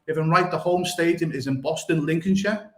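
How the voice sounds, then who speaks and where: A man's voice: a little high, brisk, fairly bright tone, echoey room. A man hosting a live podcast interview, effusive and full of fillers like "obviously" and "brilliant". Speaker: podcast host.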